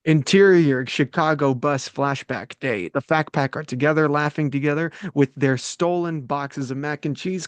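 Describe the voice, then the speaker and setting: Man, mid-pitched voice, scripted narration, stage directions, dry recording. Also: no room sound.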